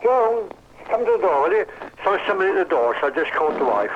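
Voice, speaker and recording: A middle-aged man calling a radio phone-in from home, polite and halting as he talks. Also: a little high, huge pitch swings. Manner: polite, halting, breaks off to call to someone at home. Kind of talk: phone-in chat. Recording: muffled noisy phone-in line.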